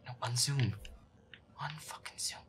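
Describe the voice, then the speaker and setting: A man playing a feisty, foul-mouthed boyfriend who mutters exasperatedly while playing a scary video game, often in a breathy whisper. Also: medium pitch, measured pace, very noisy, natural voice. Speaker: audio roleplay performer. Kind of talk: casual gaming commentary in boyfriend audio roleplay.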